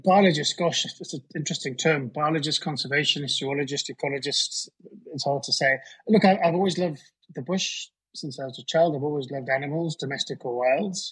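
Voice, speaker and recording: A man's voice: a little high, fairly quick, a bit bright, slightly rough, poor audio. A middle-aged man with a Southern African English accent, a conservation biologist speaking modestly and thoughtfully, calling in remotely. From Zambia and Zimbabwe. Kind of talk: casual interview answers.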